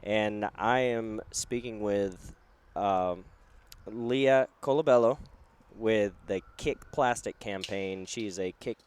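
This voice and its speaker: A man's voice: on the low side, slow, darkish tone, clean tone. A man hosting a podcast interview at a trade show, chatting loosely with plenty of fillers. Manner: loose, rambling with many fillers. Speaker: podcast host. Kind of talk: casual interview.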